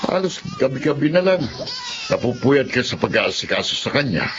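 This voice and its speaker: On a muffled, noisy recording, an actor plays an old Filipino grandfather in a radio drama. He grumbles with concern, in a mid-pitched voice. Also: big pitch swings, normal speed, lightly acted. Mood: grumbling. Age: older. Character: elderly grandfather, grumbling but caring husband. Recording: dull lo-fi audio with clear noise.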